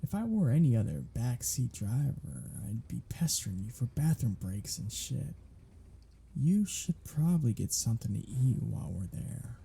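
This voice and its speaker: A man voicing a gravelly, teasing vampire. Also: mid-range voice, big pitch swings, normal speed, dark tone, heavily acted. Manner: teasing, sardonic, flirtatious banter. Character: teasing captive male vampire, sardonic and flirtatious. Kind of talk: ASMR roleplay audio drama.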